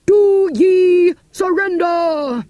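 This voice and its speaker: A woman's voice: extremely slow, mellow, dry recording, highs cut off, heavily acted. A woman voicing a grown male herald who calls out formal, old-fashioned summons. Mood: stern. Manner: formal, archaic, booming.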